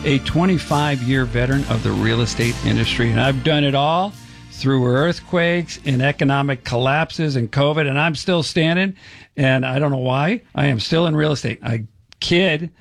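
A man's voice: mid-range voice, normal speed, vocal fry, soft background music. A middle-aged man hosting a radio talk show, chatty and joking at his own expense. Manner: jokey, self-deprecating, chatty. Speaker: radio show host, real estate agent.

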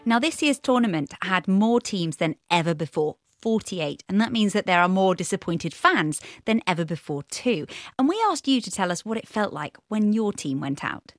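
Lively female radio host. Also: mid-range voice, moderate pace, few pauses, faint music behind. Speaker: podcast host. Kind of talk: scripted radio presenting.

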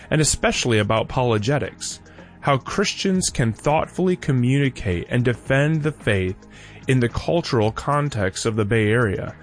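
A man, a podcast host, reading a scripted intro and announcements in a measured way. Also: mid-range voice.

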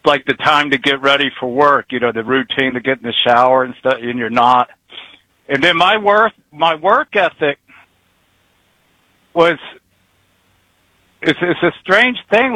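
A man in his early sixties rambles on in a folksy, easygoing way as a retired trucker calling in. His voice sits a little high and comes across bright and forceful. The sound is dry and close. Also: measured pace, dry recording, low-quality recording. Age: 60s. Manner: rambling, folksy, chuckles between thoughts. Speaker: retired truck driver caller. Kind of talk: casual phone-in chat.

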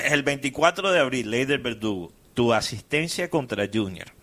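A man's voice: mid-range voice, normal speed. A man hosting a sports talk show, reading listener comments and listing a player's stats. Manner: reels off stats in a steady list. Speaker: sports radio host.

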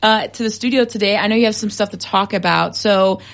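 A woman hosts a morning radio show from the studio. She sounds bubbly and enthusiastic as she interviews her guest. Her mid-pitched voice carries a gravelly, fry-laden edge. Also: brisk. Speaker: radio host. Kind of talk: upbeat radio interview hosting.

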